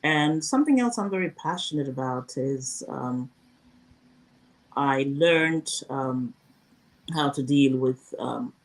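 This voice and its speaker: A woman, a former news presenter talking through her career at a thoughtful, measured pace in a casual interview. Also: rumbling low, expressive. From Zimbabwe.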